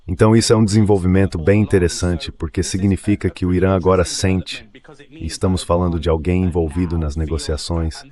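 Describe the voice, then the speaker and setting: A man's voice: deep voice, darkish tone. A man working as a news correspondent, delivering a translated voice-over report.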